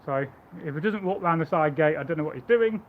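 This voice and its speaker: A man with a loud voice, pitched a little high, talks his way through a live stream about Viking gear. He rambles and restarts his sentences. The audio is thin and phone-like, with faint hiss behind it. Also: lively intonation, a little fast. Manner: rambling, chatty, stammering restarts. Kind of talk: casual live stream chat and demonstration.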